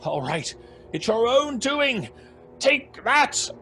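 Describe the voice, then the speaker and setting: Scoffing skeptic, high, swooping voice. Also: male voice, sing-song, slow, over music, heavily acted. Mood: irritated, blustering. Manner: dry, scornful, blustering. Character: scoffing, impatient gentleman skeptic at a séance. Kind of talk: old-fashioned radio horror drama.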